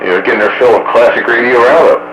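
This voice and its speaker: A man with a mid-pitched voice hosts a casual radio roundup. His speech sounds roomy, with clear background noise throughout. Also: quick pace.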